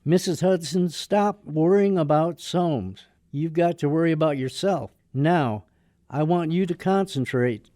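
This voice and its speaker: An actor playing a brusque, sardonic attorney with a soft heart in a radio drama. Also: male voice, fairly high pitch, unhurried, dry recording, studio quality, close to an everyday voice. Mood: earnest, persuasive.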